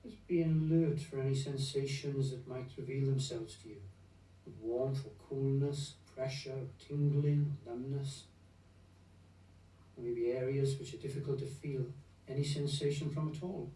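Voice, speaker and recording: A man leading a guided meditation in a gentle, unhurried, soothing manner, leaving long pauses between phrases. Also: medium pitch, steady tone. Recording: roomy echo, distant from the mic.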